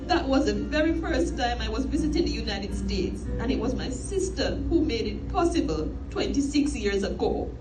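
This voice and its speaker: A middle-aged woman with a Jamaican accent reads a scripted eulogy in a measured, formal storytelling manner. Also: on the high side, lively intonation. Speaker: eulogist, sister of the deceased. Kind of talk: scripted eulogy read aloud. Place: inside a church. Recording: echoey church, distant mic, music behind.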